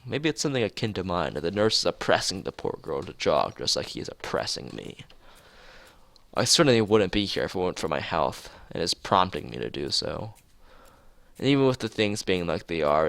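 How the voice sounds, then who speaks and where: A man streaming a visual novel, reading its script aloud haltingly, stumbling and repeating phrases, with casual asides. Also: sits low, gravelly, fairly bright tone, dry recording.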